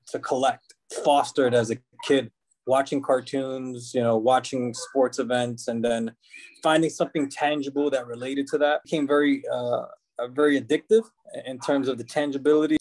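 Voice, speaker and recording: A man in his thirties on a casual video call from home, talking in a reflective, halting way. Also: mid-range voice, darkish tone, rough recording. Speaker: co-host. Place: home room indoors. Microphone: webcam on video call.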